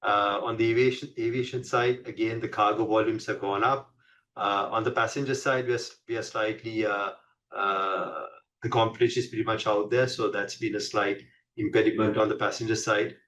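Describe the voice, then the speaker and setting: Low-voiced Sri man, distant mic. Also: fairly even intonation, moderate pace, a bit bright. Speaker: company finance executive. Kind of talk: earnings call presentation.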